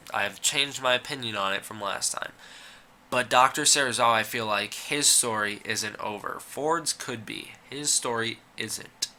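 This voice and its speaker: Bright-voiced man with a cold. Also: medium pitch. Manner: opinionated, with frequent fillers. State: has a cold. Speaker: YouTube commentator. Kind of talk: casual opinion commentary.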